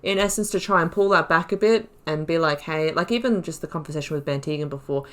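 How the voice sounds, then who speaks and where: A woman's voice: sits low, fast. A woman giving casual, reflective, rambling commentary as she hosts a video game playthrough. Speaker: gaming video host.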